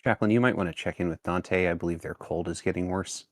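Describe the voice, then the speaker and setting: A man playing a tabletop roleplaying game, speaking in character with formal, deliberate phrasing. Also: deep voice, no room sound.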